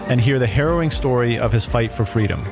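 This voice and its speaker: A man's voice: medium pitch, lively intonation, fast, over music. A man hosting a podcast, reading a prepared intro and then chatting politely with his guest, with some fillers. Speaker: podcast host. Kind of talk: scripted intro then casual interview.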